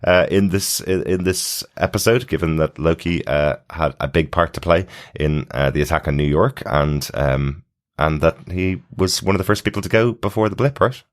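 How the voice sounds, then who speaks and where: A man's voice: low voice, normal speed. A man hosting a podcast, chatting casually as he recaps a TV episode in a conversational way. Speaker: podcast host.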